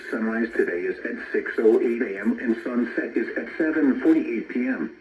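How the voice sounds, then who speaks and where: A man's voice: mid-range voice, animated, normal speed, darkish tone. A synthetic-sounding man reading a scripted weather forecast, heard through a radio speaker. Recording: dull radio broadcast played through speaker, faint noise.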